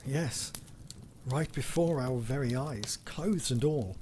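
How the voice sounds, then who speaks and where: A composed gentleman doctor, played by a man in gothic audio drama. He is polite, clinical and concerned, medium in pitch, with faint noise behind. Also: slow, close to an everyday voice. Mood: sombre, thoughtful.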